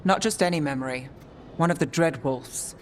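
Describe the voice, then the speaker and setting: An actor voicing a calm, wry companion in a fantasy video game, reasoning in short measured phrases. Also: mid-range voice, a little hiss or hum, lightly acted.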